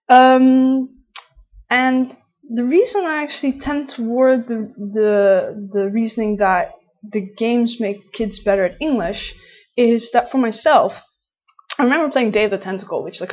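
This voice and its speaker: A woman in her late twenties from the Netherlands, speaking English with a Dutch accent and a slightly husky voice. She chats to camera in a loose, rambling way, restarting her sentences often. The recording sounds a little dull and lo-fi. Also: medium pitch. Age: late 20s. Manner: chatty, rambling, with many restarts. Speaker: vlogger. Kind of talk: casual talk to camera.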